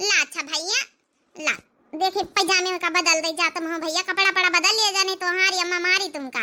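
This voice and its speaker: A very high, piercing cartoon village mother, acted by a woman. She chats and grumbles in a rustic Awadhi accent, with a sharp, bright edge to her talk. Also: fairly even intonation, a little fast, exaggerated voice. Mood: coaxing, curious. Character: village mother, chatty, grumbling elder sister-in-law. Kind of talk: comedy cartoon character acting.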